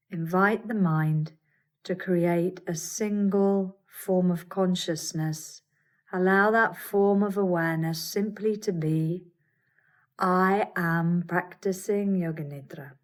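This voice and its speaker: A woman teaching yoga nidra, guiding a meditation in a calm, soothing, deliberate way with pauses. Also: fairly low pitch. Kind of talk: guided meditation.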